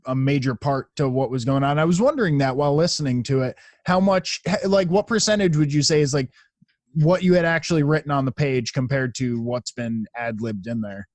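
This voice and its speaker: A man's voice: medium pitch, fairly quick, no echo. A man hosting a podcast, asking questions and commenting in casual conversation. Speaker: podcast host. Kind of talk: casual interview chat.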